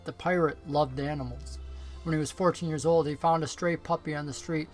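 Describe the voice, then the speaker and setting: A man reading a Christmas story aloud as an expressive scripted narration. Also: on the high side, a little fast, faint music behind. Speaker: story reader, pastor.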